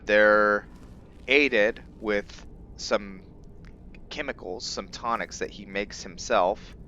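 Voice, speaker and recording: A man giving casual game commentary with roleplay lore, thinking aloud in a halting way. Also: lowish voice, fairly bright tone, lo-fi sound, a little hiss or hum. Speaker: gamer hosting a roleplay let's play.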